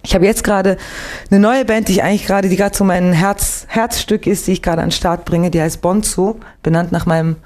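A young Swiss woman, an actress and singer, chatting lively as a guest in a late-night radio interview, with a faint Swiss German accent. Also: medium pitch, a little fast. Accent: slight Swiss German. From Switzerland, Winterthur. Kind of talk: relaxed radio interview chat.

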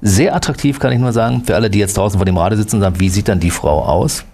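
A man hosting a late-night radio talk show, bantering and flattering his guest with a jokey manner. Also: on the low side, racing, husky.

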